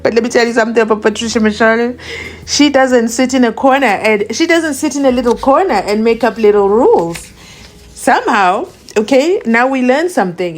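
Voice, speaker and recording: South African woman reading a document slowly. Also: a little high. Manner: reads slowly and deliberately, adding chatty asides. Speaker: commentary channel host. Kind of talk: reading a document aloud with commentary.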